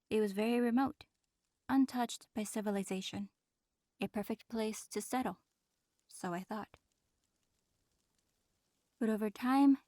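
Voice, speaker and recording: A woman playing an ancient, regal female dragon in human form, aloof and guarded, with formal, measured speech in an audio roleplay. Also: medium pitch, a little mellow, no room sound, studio quality, natural voice. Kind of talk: audio roleplay, in-character monologue addressed to listener.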